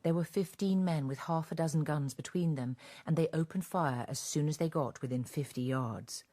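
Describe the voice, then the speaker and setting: Low, mellow woman narrator. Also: moderate pace. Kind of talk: scripted radio drama narration.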